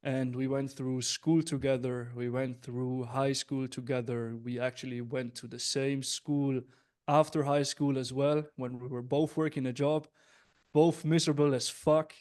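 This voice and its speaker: A young man from Switzerland hosts a casual interview with his voice at an average pitch. He sounds upbeat, friendly and direct, turning to address the listeners now and then. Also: level tone, unhurried, darkish tone. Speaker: host, business coach.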